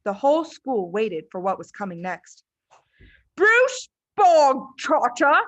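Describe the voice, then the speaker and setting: A woman's voice: mid-range voice, animated, normal speed, mellow, no echo, dull audio. A woman reading a children's book aloud as its narrator, in scripted storytelling style.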